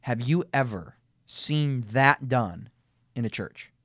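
A man's voice: medium pitch, animated, takes it slowly, fairly bright tone, no echo, clean studio sound. A man hosting a debate podcast, laying out his argument emphatically step by step with frequent restarts. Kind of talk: debate conversation.

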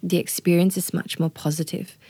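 An earnest woman doula talking through birth and motherhood, pausing to ask "right?" as she goes. Her voice sits a touch low and is recorded dry and close, with no room sound. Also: level tone, warm tone, husky, no room sound. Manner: earnest, often checks in with "right?" and "you know". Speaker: doula, guest. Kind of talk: explanatory interview talk.

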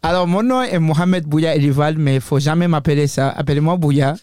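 A man's voice: fairly high pitch, fairly quick, darkish tone. A young man hosting a casual podcast chat in an enthusiastic, joking manner. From Mauritania. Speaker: podcast host.

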